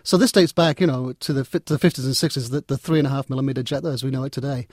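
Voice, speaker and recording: A man's voice: mid-range voice, fairly even intonation, rapid-fire, darkish tone, no echo, studio quality. A man talking as an interview guest in a conversational, discursive way, often repeating and reworking his words. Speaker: interview guest, technology writer and editor.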